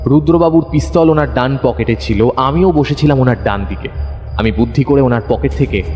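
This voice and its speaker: A man narrating a detective audio story in a dramatic, suspenseful, expressive scripted style. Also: mid-range voice, brisk. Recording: long reverb, music under narration.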